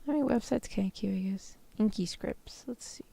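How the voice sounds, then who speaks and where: A woman chatting casually as a livestreamer, with a creaky voice and a loose, filler-heavy way of talking, close on the microphone. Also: medium pitch, brisk, dark tone. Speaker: VTuber streamer practicing ASMR roleplay. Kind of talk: casual livestream chat, roleplay script practice.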